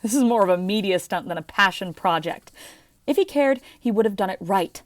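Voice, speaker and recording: A jaded, self-blaming submarine captain and navy veteran played by a woman in an amateur audio drama. She speaks at a middle pitch with a bitter edge, recorded very dry. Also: expressive, a little fast, no room sound, close to an everyday voice. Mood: bitter, angry.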